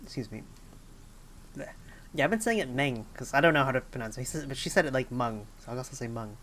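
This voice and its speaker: A woman's voice: rumbling low, lively intonation, fast, a bit bright, a little hiss or hum. A young woman livestreaming a game, chatting casually and thinking aloud with some hesitation. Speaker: game streamer. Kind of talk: casual livestream chat while playing. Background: game music.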